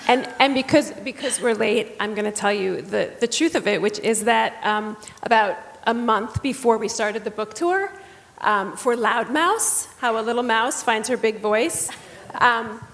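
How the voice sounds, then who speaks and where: A woman's voice: fairly high pitch, unhurried, creaky, fairly bright tone, slight background noise. A woman who is partly losing her voice, with a slight tremor, speaks earnestly and casually on stage at a book event. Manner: earnest, with frequent "um"s. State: partly lost voice, with a vocal tremor. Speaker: reading teacher and children's book author. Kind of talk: casual onstage book talk. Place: stage at a live book event in a large hall. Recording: long hall reverb.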